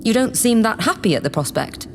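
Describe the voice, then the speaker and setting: A haughty, power-hungry female politician in an audio drama. Her grandiose, condescending delivery sweeps widely in pitch, over faint background noise. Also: very animated, a little fast. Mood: grandiose, dismissive. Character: imperious, scheming politician woman plotting to seize power. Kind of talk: theatrical audio drama acting.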